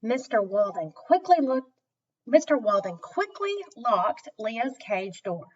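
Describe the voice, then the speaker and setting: Woman, children's storyteller, highly varied intonation, deliberate emphasis, slow delivery, distant muffled mic. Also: very animated, husky. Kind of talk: expressive read-aloud children's storytelling. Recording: dull, distant microphone.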